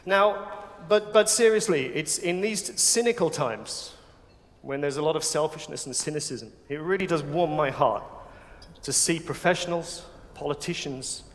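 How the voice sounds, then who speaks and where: A man's voice: a little high, moderate pace. An English man hosting an awards gala with a joking, self-mocking, chatty manner. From England. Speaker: awards host. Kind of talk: comic stage hosting. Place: large banquet hall with audience. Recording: long hall reverb.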